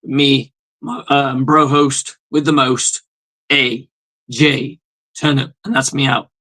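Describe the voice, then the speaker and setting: A male host with a hoarse voice, giving a blunt, profane, rambling fan's reaction in a casual sports monologue. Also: mid-range voice, flat delivery, slow pace, pushed and bright.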